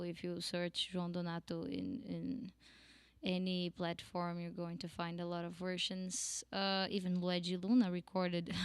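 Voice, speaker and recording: A woman's voice: lowish voice, clear, resonant tone, dry recording. A Brazilian woman with a Brazilian accent teaching a singing lesson in English, chatty and laughing often. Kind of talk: casual online lesson.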